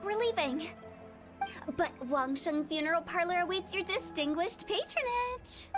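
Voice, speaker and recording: A woman's voice: extremely high pitch, lively intonation, unhurried, over music. A woman voicing a playful young funeral director with a mischievous, cheerfully morbid way of talking. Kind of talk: game voice-over.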